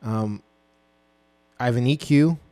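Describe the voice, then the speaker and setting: Young man, low and smooth voice, unhurried rambling producer talk on a dynamic mic in a small home studio. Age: 20s. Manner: relaxed, rambling, full of ums and self-corrections. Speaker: music producer. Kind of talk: casual walkthrough of a song mix. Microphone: dynamic broadcast mic.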